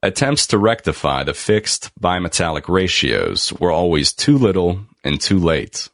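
A man reading a scripted article aloud as a narrator, in a measured, deliberate and formal manner with emphatic phrasing. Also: deep voice, big pitch swings, no echo, studio quality.

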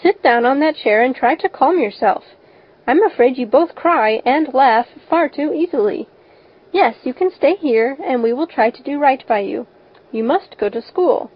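A woman voicing a stern, practical older guardian in an audiobook, firm and matter-of-fact, on a slightly dull recording. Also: high-pitched. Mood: disapproving. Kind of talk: audiobook character voice.

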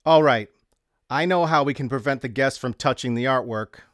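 A man's voice: mid-range voice, moderate pace, fairly bright tone, no echo, clean studio sound, close to an everyday voice. A man narrating a story as a wry, teasing male prankster artist with a provoking smart-aleck manner. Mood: scheming.

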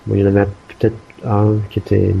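A man chatting casually about learning a language, hesitant, with many restarts and fillers and the odd throat clearing. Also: fairly low pitch, completely flat delivery, quick pace, lo-fi sound, a little hiss or hum. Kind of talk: casual conversation.

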